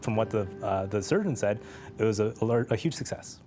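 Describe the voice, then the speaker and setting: A man telling a personal story as an interview guest, speaking in a reflective, measured way with pauses. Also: on the low side, animated, over music. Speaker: interview guest, transplant patient.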